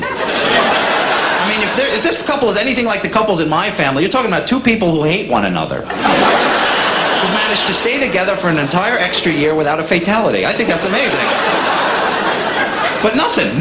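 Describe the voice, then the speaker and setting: A stand-up comedian with a New York accent works a live room, his voice a touch higher than most men's and swinging widely in pitch. He lands punchy deadpan lines and fires quick asides at the audience. Loud laughter rolls back at him in an echoey club. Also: a loud crowd, heavy background noise.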